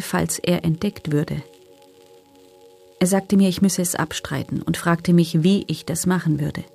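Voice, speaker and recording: Ex-prisoner woman testifying, radio drama, dark mellow voice, sober n matter of fact. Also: fairly low pitch. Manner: sober, measured, matter-of-fact first-person recounting. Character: former female prisoner giving sober, factual testimony. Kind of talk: scripted documentary radio drama reading.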